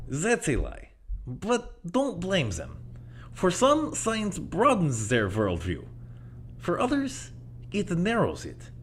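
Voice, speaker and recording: A woman in an audio play acting an enigmatic, philosophical confidant, speaking in a deliberate, cryptic and theatrical manner. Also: fairly low pitch, huge pitch swings, a little hiss or hum, exaggerated voice. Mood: wry, musing.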